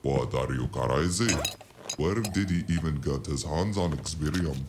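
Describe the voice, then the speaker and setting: Gruff caring fixer played by a man, extremely deep, faint noise behind, audio drama. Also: a little slow, darkish tone. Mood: concerned.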